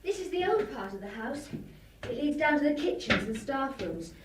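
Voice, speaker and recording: An actress playing a brisk, practical young woman of the house who gives commands. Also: high voice, very animated, echoey room, recorded from a distance, very noisy. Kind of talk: 1970s radio drama acting.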